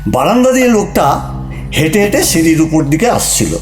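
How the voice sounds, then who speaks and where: A man's voice: on the high side, very animated, fairly quick, roomy sound, music in the background. An actor playing a mocking, argumentative husband who needles his wife in a theatrical comic audio play. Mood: amused storytelling.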